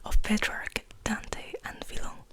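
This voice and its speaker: A woman speaking in a breathy, intimate whisper, soothing the listener as she reads and rambles in an ASMR style. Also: takes it slowly. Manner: intimate, soothing, repeats words softly. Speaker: ASMR host reading poetry aloud.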